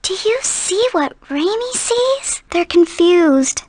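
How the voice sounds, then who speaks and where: A woman's voice: extremely high pitch, expressive, slow pace, a little mellow, a little hiss or hum, heavily acted. An actress voicing a tiny, innocent, childlike girl spirit in a video game, tender and earnest.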